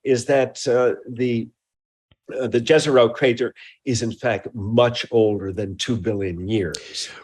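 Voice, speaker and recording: A man being interviewed as a scientist, explaining his research emphatically and haltingly, often repeating words. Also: medium pitch, fairly even intonation, darkish tone, slightly rough.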